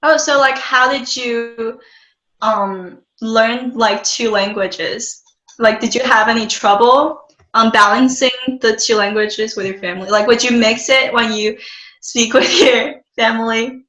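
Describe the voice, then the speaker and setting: Bright chatty teen girl host. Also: on the high side, fairly even intonation, unhurried. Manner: chatty, lots of "like" and "um". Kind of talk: casual interview questions. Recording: echoey room.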